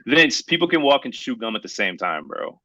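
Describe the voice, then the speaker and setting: A man's voice: medium pitch, sing-song, fairly quick, sharp tone, no echo. An American man hosting a casual conversation, posing questions and arguing his points conversationally. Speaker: podcast host. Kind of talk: casual chat.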